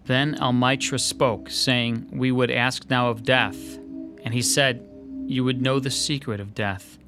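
A man's voice: mid-range voice, steady tone, measured pace, music in the background, natural voice. An actor playing a polite, slightly hesitant male sketch artist in a radio drama. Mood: reading aloud.

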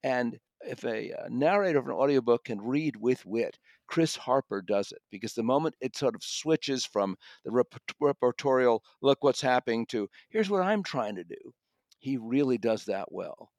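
A man's voice: mid-range voice, lively intonation, normal speed, a little mellow, dry recording, clean studio sound. A man co-hosting a podcast, reviewing audiobooks in a discursive, conversational way and often rephrasing his point.

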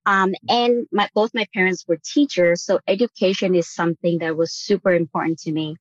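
Woman from Vietnam, podcast guest: earnest, conversational, slightly low voice, close dry but muffled lo-fi sound. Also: fairly even intonation, a little fast, no echo. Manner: earnest, frequent "like" and "right?" tags. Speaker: guest on a real estate podcast. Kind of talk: reflective conversational interview answer. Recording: dull lo-fi audio.

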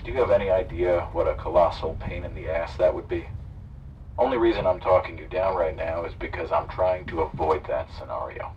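An actor playing a calm, sardonic male hijacker who threatens and persuades by turns, sounding muffled and distant. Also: deep voice, brisk, a little hiss or hum. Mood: coaxing. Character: smooth male eco-activist hijacker, menacing. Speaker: radio drama character.

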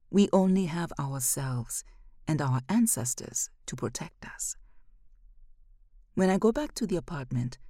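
A woman reading literary fiction as an audiobook narrator, measured, with phrases often falling to a hush. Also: sits low, dry recording, studio quality.

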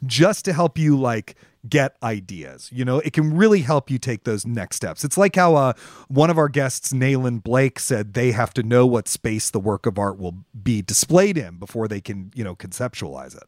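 A man's voice: mid-range voice, animated, normal speed, no room sound, studio quality. A man co-hosting a podcast, talking casually and emphatically about creative work, with informal and sometimes salty language. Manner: emphatic, informal, mildly profane. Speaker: podcast co-host and writer.

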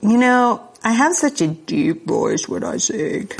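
Slow-talking woman interview guest, forties, easygoing with a ready laugh. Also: mid-range voice, wildly swooping pitch, vocal fry. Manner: unhurried, laughs easily, self-deprecating. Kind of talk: casual conversational interview.

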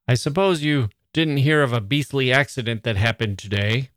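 Plain-spoken gruff father, by the male narrator; dry. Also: mid-range voice, normal speed, a bit bright, no room sound, close to an everyday voice. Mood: grave.

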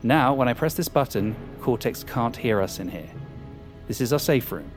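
An actor playing an arrogant, power-hungry hacker in an audio drama, with a smug, cynical and sardonic manner. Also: male voice, medium pitch, animated, normal speed, soft background music, close to an everyday voice. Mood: confident, explaining. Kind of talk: audio fiction acting.

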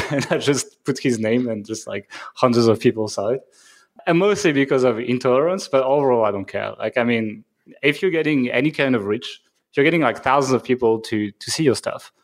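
A man's voice: medium pitch, a little fast. French man casually co-hosting a podcast. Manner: conversational, many fillers and restarts. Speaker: podcast co-host.

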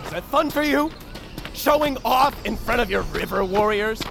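A man's voice: high-pitched, lively intonation, moderate pace, vocal fry, noisy background. A man playing an angry, defiant young man bent on vengeance, curt and bitter, in an audio drama. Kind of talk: immersive audio drama acting.

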